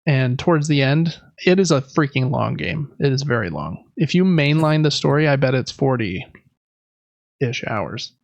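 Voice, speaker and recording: A man's voice: mid-range voice, expressive, measured pace, creaky, no room sound, dull audio. A man hosting a podcast, chatting informally and conversationally about games, close to the microphone. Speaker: podcast host. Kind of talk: casual gaming podcast chat.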